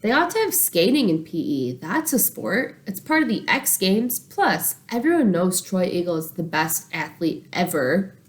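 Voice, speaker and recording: A woman's voice: on the low side, sing-song, moderate pace, few pauses. A woman voicing an earnest young schoolboy chatting with friends in a children's storybook.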